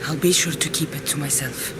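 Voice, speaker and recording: A man's voice: on the high side, steady tone, brisk, close to an everyday voice. An actor playing a guarded man who asks brief, probing questions in a video game. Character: terse, guarded man probing a cult conspirator. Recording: very loud noise under the voice.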